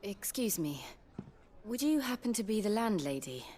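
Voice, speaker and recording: A British woman playing a gentle, poised young noblewoman in a video game, speaking politely, softly and in measured phrases. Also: medium pitch, very animated, lightly acted. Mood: polite, composed. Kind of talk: dramatic video game acting. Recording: cinematic music behind the voice.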